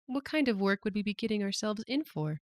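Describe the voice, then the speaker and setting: A man's voice: sits high, lively intonation, a little fast, no echo, pitched above the performer's own voice. Young woman adventurer, eager and wry, raised voice, male narrator, dry.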